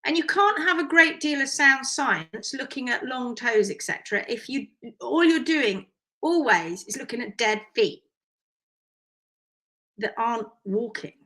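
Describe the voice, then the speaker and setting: A woman hoof care teacher whose voice is croaky and hoarse from strained vocal cords, yet still bright and forceful. She sits a little high in pitch and talks in an animated, emphatic way, with quick asides as she reads out viewer comments. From United Kingdom. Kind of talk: live session chat, reading viewer comments.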